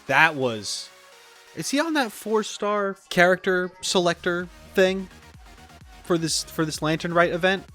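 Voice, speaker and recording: A man's voice: sits high, expressive, unhurried, bright, forceful tone. A man giving casual gaming reaction commentary as a video host, informal and exclamatory, thinking aloud as he goes. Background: background game music.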